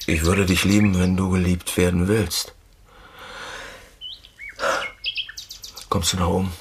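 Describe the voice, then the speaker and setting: An actor playing a blunt, reserved but tender lover in a radio drama, speaking tersely and intimately with a gravelly voice. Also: male voice, flat delivery, a little fast, background noise. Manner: terse, intimate, low-key. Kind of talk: naturalistic radio drama dialogue.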